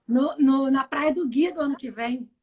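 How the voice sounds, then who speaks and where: A woman, hoarse and short of voice, speaking gently as a supportive co-host. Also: high voice, normal speed. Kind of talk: live religious chat.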